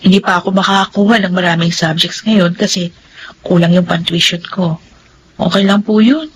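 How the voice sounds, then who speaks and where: Brisk, upbeat young student played by a woman. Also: lowish voice, fairly even intonation, a little hiss or hum, lightly acted, rough recording. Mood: upbeat, patient. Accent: Filipino. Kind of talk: Filipino radio drama acting.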